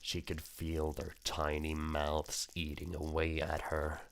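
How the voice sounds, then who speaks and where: Grim visual-novel narrator, male reader, ominous and dramatic, deep register, deliberate slow delivery. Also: completely flat delivery, full character voice. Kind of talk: scripted horror narration.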